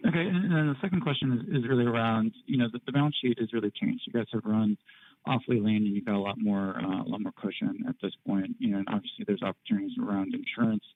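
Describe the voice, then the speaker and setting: A man's voice: lowish voice, steady tone, fast. A man speaking as a financial analyst, putting unscripted questions to executives over a phone line on an earnings call.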